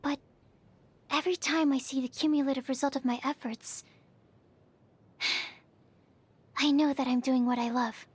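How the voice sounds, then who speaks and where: A woman's voice: high-pitched, fairly even intonation, unhurried, long pauses. An actress playing a shy, bookish young female alchemist in a video game, earnest and awkward, speaking in hesitant, precise, formal phrases. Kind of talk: video game character voice lines.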